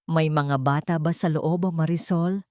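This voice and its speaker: A suspicious Filipino billionaire husband, voiced by a woman storyteller. He is grave and quietly probing, in an audio drama with a close, dry sound. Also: deep voice, steady tone, a little slow, dry recording.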